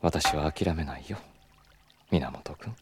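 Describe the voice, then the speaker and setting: A man playing a suave, stubborn, flirtatious club boss in an audio drama, with smooth polite speech that slips into teasing Kyoto dialect. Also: a bass voice, slow pace, noisy background. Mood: smooth, persuasive. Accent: Kyoto Japanese.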